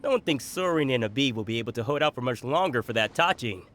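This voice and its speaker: Man as a lively, jovial game shopkeeper. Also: mid-range voice, quick pace, a little hiss or hum, lightly acted. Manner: hearty, chuckling, teasing. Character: jovial, generous male food shopkeeper in a fantasy town. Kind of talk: video game voice acting.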